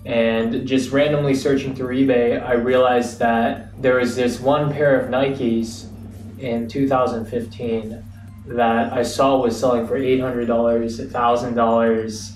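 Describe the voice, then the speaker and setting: A young American man telling his story as an interview guest, relaxed and reflective, with frequent fillers. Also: mid-range voice, a little slow, faint music behind. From United States. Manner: relaxed, reflective, many fillers like "you know". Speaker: guest, entrepreneur. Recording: roomy, distant mic, faint ambience.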